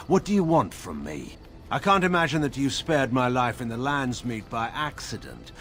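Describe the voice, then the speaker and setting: A man playing a proud, weary, sardonic veteran warrior nobleman in a fantasy video game, speaking in a wry, grave and measured way with formal phrasing. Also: medium pitch, fairly bright tone. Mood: guarded, sardonic. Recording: studio voice with game music behind. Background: music.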